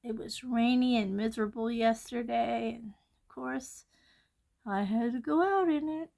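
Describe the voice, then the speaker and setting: Woman host, slightly high gravelly voice, slow unhurried delivery, stuffy-nosed from allergies, casual sketching chat. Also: a little mellow. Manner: slow, chatty, often drops to near-whisper. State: congested from allergies. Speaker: art channel host.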